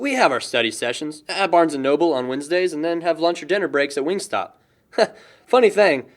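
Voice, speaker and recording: A man's voice: fairly high pitch, natural voice. A young man playing a lighthearted, chatty, lovestruck guy in a casual audio drama, joking and rambling. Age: young adult.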